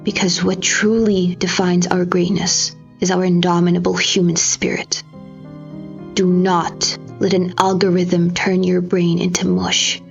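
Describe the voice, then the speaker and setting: A woman giving a dramatic scripted narration, grave and theatrical, with deliberate phrasing. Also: on the low side, level tone, vocal fry. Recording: slightly dull recording with music behind. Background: music.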